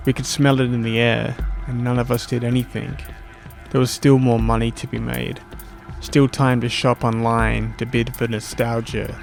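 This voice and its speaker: Male podcast host, medium pitch with noticeable vocal fry, monologue delivery over a music bed. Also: fairly bright tone. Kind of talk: spoken-word monologue and casual podcast sign-off, over music. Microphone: close podcast microphone.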